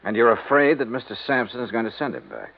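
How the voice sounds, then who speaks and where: An actor playing a hardboiled, wry American insurance investigator in a 1950s detective radio drama. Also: male voice, mid-range voice, sing-song, fast talker, vocal fry. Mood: impatient, pressing. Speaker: lead actor and narrator. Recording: muffled old radio recording with music.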